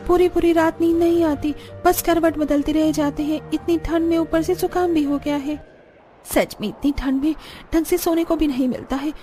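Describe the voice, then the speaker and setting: Fed-up cartoon village bride, voiced by a woman: very high, emphatic, complaining, soft music behind. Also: steady tone, moderate pace, lightly acted. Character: young village daughter-in-law, fed-up and scheming.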